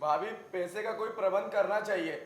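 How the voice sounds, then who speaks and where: A man's voice: sits high, a little fast, far from the mic, natural voice. An actor playing an earnest man of a cash-strapped village family in a naturalistic Hindi drama. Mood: hesitant, worried. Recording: echoey room recording.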